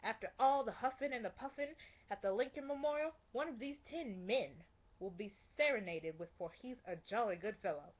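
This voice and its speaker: A woman playing a dignified, indignant female civil rights activist, delivering a measured, emphatic theatrical speech. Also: on the high side, animated. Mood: impassioned. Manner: measured, emphatic, rhetorical, with weighty pauses. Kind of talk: theatrical monologue, oratorical speech. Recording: dull lo-fi amateur recording.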